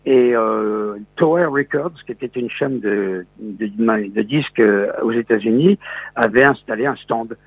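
A man's voice: mid-range voice, measured pace, no room sound, muffled recording. An older man from Lorraine, a radio host, reminiscing in an interview with many hesitant fillers and restarts. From Lorraine, France.

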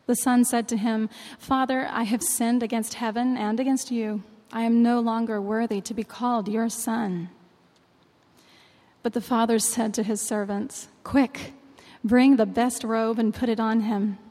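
A woman reading a long Bible passage aloud in church, in a measured, clear way. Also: a little high, fairly even intonation, dark tone. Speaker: scripture reader. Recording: long reverb of a large hall.

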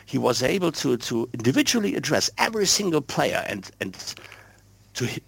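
A man's voice: medium pitch, very animated, normal speed, gravelly, breathy edge, dry recording. A man with a German accent whose first language is German, talking football earnestly as a podcast guest and often repeating words while he looks for the English. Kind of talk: casual football chat.

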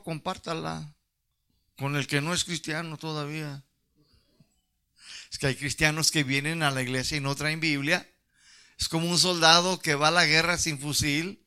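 A man's voice: a little high, sharp tone, smooth. A Chicano man with a Chicano Spanish accent preaching to his church, folksy and asking the congregation questions. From Mexican-American. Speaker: pastor. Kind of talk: sermon.

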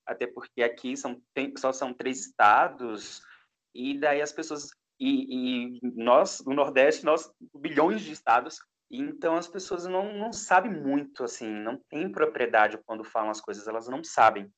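A man speaks reflectively at a mid pitch. He often restarts mid-sentence, thinking aloud in a casual interview. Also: normal speed, a bit bright. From Pernambuco, Brazil. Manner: reflective, with many restarts and fillers. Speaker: guest.

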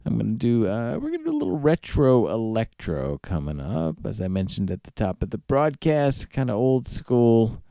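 A male radio host talks through a playlist in a casual, conversational way. His voice is mellow and gravelly with a lively, swinging intonation, recorded close and dry. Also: mid-range voice, normal speed, few pauses, no echo. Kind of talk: casual music radio back-announcing.

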